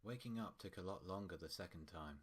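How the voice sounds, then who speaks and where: A man's voice: sits low, fairly quick. A man reading scripted fiction as a storyteller, in prose narration.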